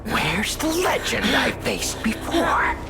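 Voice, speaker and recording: Game villain: gleefully cruel puppet ringmaster, high, extremely animated, taunting, over loud music. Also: female voice, slow pace, exaggerated voice. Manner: theatrical, taunting, grandiose. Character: mocking, sadistic puppet showman, gleefully theatrical villain. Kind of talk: video game villain acting.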